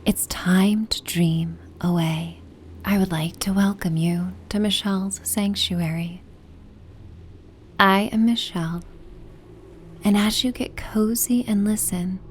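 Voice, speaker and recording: A woman narrating a soothing sleep meditation and bedtime story in a gentle, soft, half-whispered, breathy voice. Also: on the low side. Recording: steady ocean and wind ambience noise. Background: ocean and wind ambience.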